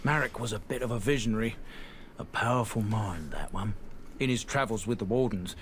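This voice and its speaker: A man's voice: lowish voice, a little fast, a bit bright, natural voice. Man playing an earnest merchant, noisy background. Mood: admiring, storytelling. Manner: colloquial, plain-spoken, folksy. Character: earnest, proud merchant man defending his disgraced family's honor. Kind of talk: scripted fantasy game dialogue.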